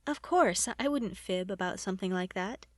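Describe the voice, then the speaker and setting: A woman voicing a fond, whimsical gentleman adventurer in a storybook, earnest and courtly. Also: medium pitch, lively intonation, normal speed, no room sound, clean studio sound, lightly acted. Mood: courtly, hopeful.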